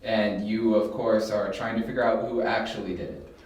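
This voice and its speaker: A man's voice: fairly low pitch, level tone, normal speed, darkish tone, close to an everyday voice. A man playing a dry, helpful reporter in an amateur audio drama, with an easygoing manner. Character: detective's dry, helpful relative, a sharp-memoried male reporter. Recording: roomy amateur home recording.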